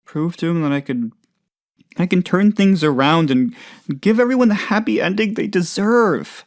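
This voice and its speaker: A man playing an earnest, self-doubting but loyal boss in a video game, talking in a halting, heartfelt, rambling way. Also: high voice, animated. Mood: anguished, determined. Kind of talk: expressive game dialogue, conversational monologue.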